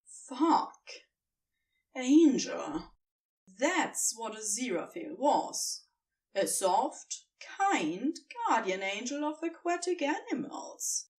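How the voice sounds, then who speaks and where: A woman narrating scripted fan fiction as an expressive storyteller, recorded slightly away from the microphone. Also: on the high side, slow, distant mic.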